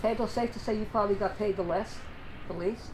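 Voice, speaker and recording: A woman's voice: medium pitch, fairly quick, over music, poor audio. A woman hosting an informal sports interview, introducing guests and asking them questions. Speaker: interviewer and host.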